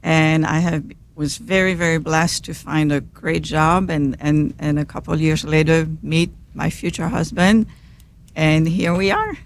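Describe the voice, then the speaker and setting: A woman's voice: sits low, unhurried, slight background noise. A middle-aged woman from Belgium, a native French speaker with a Belgian French accent, talking as a guest in a live interview.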